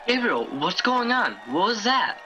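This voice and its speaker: A jittery, eager angel played by a man, high and very bright in tone. He is awkward and hesitant in this radio drama, with slightly dull lo-fi sound. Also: sing-song, measured pace, a faint crowd, a little hiss or hum, full character voice. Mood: eager, uneasy. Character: nervous, eager angel hungry for a gift. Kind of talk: radio play acting. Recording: dull lo-fi video call sound, music behind.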